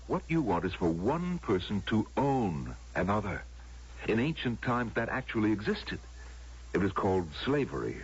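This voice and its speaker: An actor in an old radio drama playing an earnest, patronizing lover, coaxing and moralizing in a raspy voice. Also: male voice, fairly low pitch, very animated, moderate pace, exaggerated voice. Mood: coaxing, urgent. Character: earnest male lover, patronizing believer in enforced happiness. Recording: dull lo-fi vintage radio drama recording.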